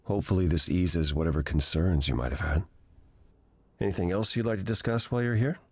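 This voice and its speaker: A weary, ancient god in a video game, guiding a newcomer with gentle patience. The voice is low and a little husky, recorded dry and close, with a measured, thoughtful delivery. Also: male voice, no echo. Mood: gentle, reflective. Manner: measured, reflective, explanatory.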